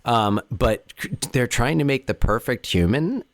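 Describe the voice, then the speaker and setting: Male podcast co-host, mid-range voice, animated chatty delivery, dry close-mic sound. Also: mid-range voice, unhurried, dry recording. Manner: animated, frequent restarts. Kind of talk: casual chat.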